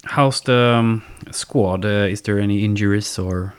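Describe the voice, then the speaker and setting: Lower-voiced male podcast host. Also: unhurried, clean tone. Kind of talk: casual chat and interview.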